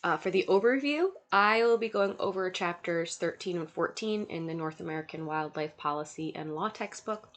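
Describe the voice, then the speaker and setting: Slightly low nasal woman giving a lecture. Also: moderate pace, hardly any pauses, slight background noise. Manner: reads lists of points with fillers. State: sick, nasal congestion. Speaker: student lecturer. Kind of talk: recorded slide lecture.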